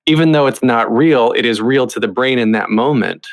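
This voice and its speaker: Male interviewer for a podcast, mid-pitched voice, wordy and effusive in an informal conversational style. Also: normal speed.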